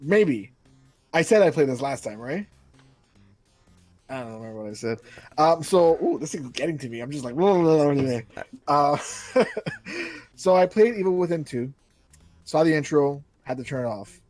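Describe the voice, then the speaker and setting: A young man co-hosting a gaming podcast in casual chat, jokey and animated, slipping into silly voices for stories. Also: a little high, moderate pace, long pauses, dry recording, faint music behind. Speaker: podcast co-host.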